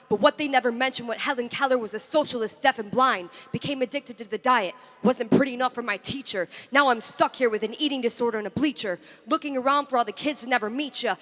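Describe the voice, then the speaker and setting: A woman's voice: fairly high pitch, a bit bright. A woman performing intense, confessional spoken word poetry in a rhythmic delivery. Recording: long hall reverb.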